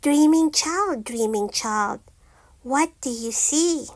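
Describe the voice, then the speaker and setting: A woman reading a children's picture book aloud as a teacher, in a deliberate, singsong, repetitive way. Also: sits high, expressive. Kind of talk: slow children's read-aloud.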